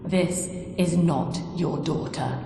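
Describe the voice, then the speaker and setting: An actress voicing a calm, cold middle-aged woman psychiatrist who leads a cult in a video game, speaking in a measured, soft, controlled way. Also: deep voice. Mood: calm commanding. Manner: measured, soft-spoken, controlled and coldly gentle. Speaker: game character. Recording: reverberant with music behind.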